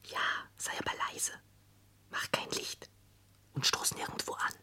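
An actor playing a tender, brooding confidant at night, speaking softly and pensively in a radio play. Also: male voice. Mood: hushed, tender. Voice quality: soft, half-whispered. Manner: hushed, slow, pensive. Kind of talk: German radio play acting.

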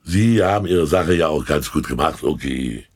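An actor playing a self-satisfied, avuncular older statesman in a German radio play, speaking formally and pompously with a husky voice. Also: extremely low pitch, lively intonation, moderate pace, exaggerated voice.

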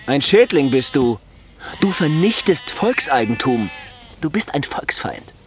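A Soviet district prosecutor in a radio play, hectoring and contemptuous. His pitch leaps around as he interrogates, with faint noise behind him. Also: sing-song, unhurried. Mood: accusing, scornful. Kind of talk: radio drama acting.

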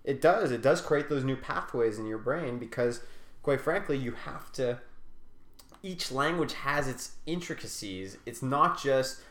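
Male co-host, mid pitch, loose rambling chat full of fillers, roomy sound. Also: expressive, unhurried, fairly bright tone. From Quebec. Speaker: podcast co-host. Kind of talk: casual chat.

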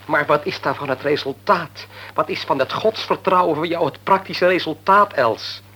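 An actor playing a middle-aged grieving father in a radio play, bitter and argumentative, sinking into quiet despair. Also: fairly high pitch, normal speed, breathy edge. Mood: bitter, arguing.

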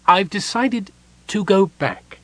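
A man voicing a small, excitable, childlike male kender who chatters and stumbles over his words, in a husky voice. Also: high voice, takes it slowly, no room sound, pitched above the performer's own voice, exaggerated voice. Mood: earnest.

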